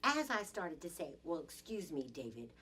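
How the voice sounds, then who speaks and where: A woman's voice: low voice, sing-song, normal speed, sharp tone, exaggerated voice. A woman performing an improvised dramatic monologue as an indignant, sassy businesswoman confiding in a girlfriend, with theatrical emphasis.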